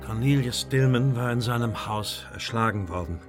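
An earnest gentleman of formal bearing played by a male actor, telling his story in a mid-pitched man's voice. He is deferential and anxious, in the measured style of a radio play. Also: over music, close to an everyday voice. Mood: grave recounting. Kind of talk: German audio drama acting and narration.

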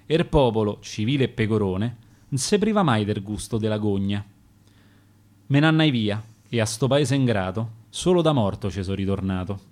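A man with a Roman accent reciting his own rhymed sonnets on radio, in a measured, rhythmic verse delivery. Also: fairly low pitch, expressive, darkish tone. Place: radio studio.

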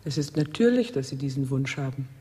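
An actress playing an enigmatic, commanding seductress in a radio drama, husky and deliberate. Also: female voice, rumbling low, expressive, mellow, full character voice. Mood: cool, enigmatic. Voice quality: husky, breathy. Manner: slow, deliberate, theatrical. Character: enigmatic seductive woman, coolly commanding, melancholy. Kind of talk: German radio drama acting.

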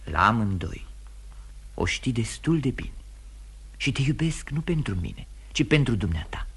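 An actor playing a devoted, courteous gentleman in a radio play, soft and husky, restrained and earnest in his manner. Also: mid-range voice, big pitch swings, heavily acted. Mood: tender, devoted. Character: courteous, devoted gentleman friend, quietly in love. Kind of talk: Romanian radio theatre acting.

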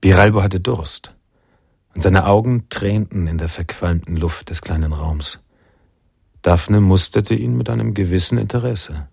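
An actor playing a brooding film-noir narrator in a radio drama, reading scripted narration in a measured, grave manner. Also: male voice, low-pitched, gravelly, a little mellow, slightly rough, no room sound, studio quality, exaggerated voice. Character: brooding male film-noir narrator recalling his friend's escape.

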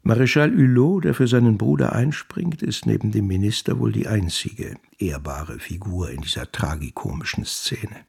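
A man's voice: lowish voice, lively intonation, normal speed, gravelly, hardly any pauses, darkish tone, husky, full character voice. An actor playing a wry, self-satisfied novelist who narrates and muses on his characters in a German radio drama, reflective and conversational.

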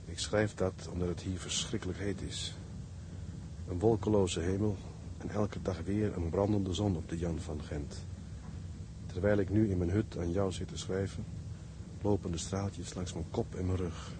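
Seafarer letter-writer, male actor: very low pitch, wry, affectionate narration, noisy ambience. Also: fairly even intonation, normal speed, lightly acted. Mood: fond, weary. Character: young sailor writing wry, fond letters home.

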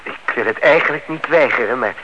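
A man's voice: medium pitch, a little fast, bright, forceful tone, lightly acted. A man playing a courteous, hesitant neighbour in a radio drama, diffident and polite in speech. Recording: dull, noisy old radio recording.

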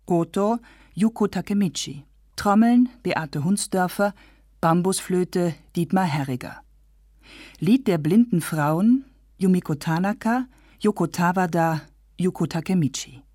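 Female announcer for radio play credits, lowish voice, very dry close studio sound. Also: expressive, unhurried, warm tone, no echo.

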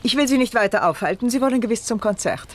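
A woman's voice: fairly high pitch, lively intonation, fast talker, slight background noise, natural voice. An actress playing a scheming, commanding aristocratic lady in a radio play, speaking in a refined, theatrical and controlling manner. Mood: effusive, feigned surprise. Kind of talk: 1970s German radio play acting.

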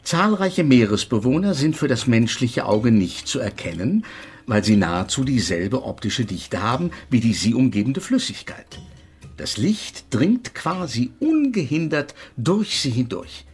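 A haughty, eccentric physics professor in a radio drama. His husky voice rises and falls in animated sweeps. He explains things with pedantic precision and an exasperated edge. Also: mid-range voice, measured pace, exaggerated voice. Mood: lecturing, precise. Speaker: actor in a radio play. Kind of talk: German radio drama acting.

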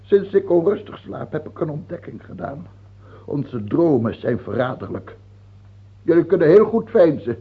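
A muffled, phone-like radio play recording of a tyrannical king. His husky, creaking voice swoops widely. He is capricious and suspicious, repeating himself as he slides between wheedling and command. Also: fairly high pitch, big pitch swings, normal speed, exaggerated voice. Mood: suspicious, mocking. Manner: repeats himself, swings from wheedling whispers to shouting. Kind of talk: old radio drama acting.

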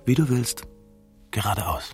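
An actor playing a stubborn, world-weary man in a radio play, with a gravelly, husky voice and a dry, musing, contrary manner. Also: mid-range voice, animated, measured pace, no echo, faint music behind. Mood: exploring.

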